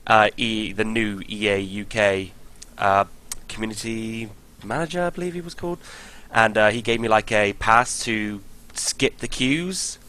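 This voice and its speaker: A man from the UK with a fairly low voice, a little croaky from being ill. He chats casually as a podcast guest and rambles eagerly about what he has been doing. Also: unhurried, bright, forceful tone. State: croaky from illness. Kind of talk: casual chat.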